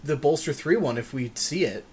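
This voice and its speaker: A man's voice: on the high side, animated, normal speed, slightly rough. A man co-hosting a podcast, chatting casually about a game and readily agreeing with his partner. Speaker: podcast co-host.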